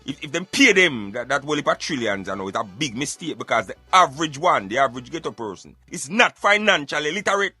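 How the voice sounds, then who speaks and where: A man with a Jamaican accent giving emphatic, argumentative, streetwise opinions in animated radio banter. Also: a little high, fast talker, hardly any pauses, slightly rough, soft background music. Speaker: radio show host.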